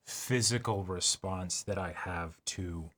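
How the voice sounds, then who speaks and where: A man co-hosting an anime reaction show, talking casually and reflectively in halting phrases, often checking in with his listener. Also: deep voice, crowd noise. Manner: halting, reflective, checks in with "right" and "you know".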